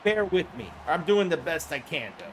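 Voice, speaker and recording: A man calling a simulated football game on a live stream, hoarse from the flu, chatting with viewers and breaking into excited shouts on big plays. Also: on the high side, moderate pace, a little hiss or hum, rough recording. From Florida. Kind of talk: live-stream play-by-play commentary.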